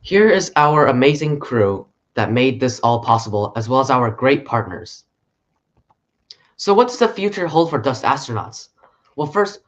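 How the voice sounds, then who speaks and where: A man presenting a short conference talk in an earnest, steady way. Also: medium pitch, muffled recording. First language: English.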